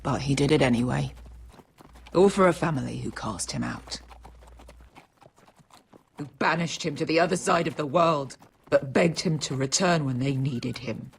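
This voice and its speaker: A woman's voice: a bass voice, normal speed, vocal fry, long pauses, breathy edge, exaggerated voice. An actress playing a tough, grieving female sellsword who talks bluntly and swears freely, in a story game. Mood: grieving, bitter.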